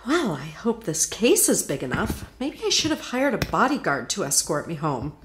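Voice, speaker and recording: A woman's voice: lowish voice, sing-song, normal speed. A woman playing a haughty scheming widow. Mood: smug. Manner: haughty, imperious. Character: greedy scheming widow of means, cold. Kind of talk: old-time radio drama acting.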